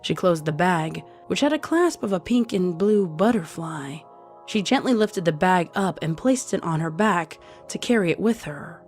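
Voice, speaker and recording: A woman's voice: on the low side, lively intonation, moderate pace, darkish tone, soft background music. A woman reading scripted story narration as the narrator.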